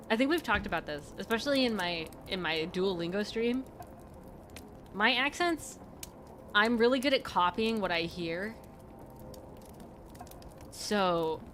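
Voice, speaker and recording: A woman's voice: mid-range voice, a bit bright, a little hiss or hum. A woman streamer in casual chat, rambling and laughing often with faint music behind her.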